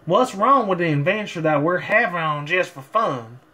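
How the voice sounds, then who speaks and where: A man's voice: sits high, lively intonation, normal speed, sharp tone, husky, pitched above the performer's own voice, full character voice. A man voicing an easygoing, folksy cartoon farm pony girl with an American Southern accent. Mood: reassuring, casual.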